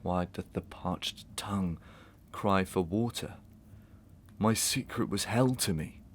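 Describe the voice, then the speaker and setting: A man plays a guilt-racked, defiant gentleman villain of Victorian melodrama. His low voice moves slowly and deliberately through archaic, theatrical phrases, with faint noise behind him. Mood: tormented, defiant. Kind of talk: Victorian stage melodrama acting in an audio drama.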